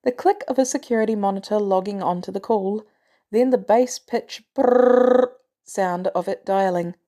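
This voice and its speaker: A woman's voice: mid-range voice, normal speed, dark tone. A woman reading scripted fiction as an audiobook narrator. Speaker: fan fiction audiobook reader.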